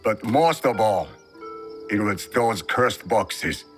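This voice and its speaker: An actor playing a grave older Japanese warrior mentor in a video game cutscene, speaking in a measured, cryptic, solemn way. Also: male voice, lowish voice, expressive. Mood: reflective. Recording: music behind the dialogue.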